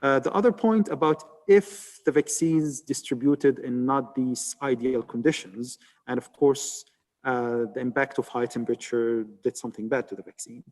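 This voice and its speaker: A man's voice: mid-range voice, normal speed, a little mellow, long reverb tail. A man from Egypt who researches malaria vaccines, answering on a webinar panel in an explanatory way with many "uh" and "like" fillers over a video call.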